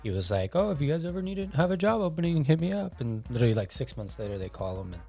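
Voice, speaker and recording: A man's voice: mid-range voice, very animated, racing, gravelly, mellow, husky. Slangy man in his thirties, faint music behind. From Argentina. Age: 30s. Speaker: guest. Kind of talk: casual podcast chat.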